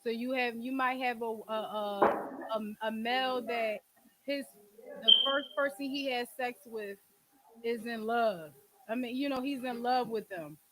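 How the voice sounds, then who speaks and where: A woman's voice: a little high, measured pace, very noisy. A woman, talking candidly and emphatically about personal matters in slang with plenty of swearing. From Philadelphia, United States. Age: 20s. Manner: blunt, emphatic, street slang, frequent swearing. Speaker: podcast and call-in show host. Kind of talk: casual, candid relationship talk.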